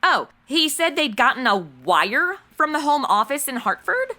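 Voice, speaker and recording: A woman's voice: high-pitched, very animated, unhurried, pushed and bright, exaggerated voice. An American woman playing a chatty, flippant modern rookie investigator in a comedy audio drama, breezy and casual.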